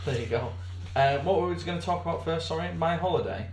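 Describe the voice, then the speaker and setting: A man co-hosting a podcast in casual banter, his voice slightly hoarse, with a dry, teasing manner. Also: a little high, expressive, fast, echoey room. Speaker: podcast co-host.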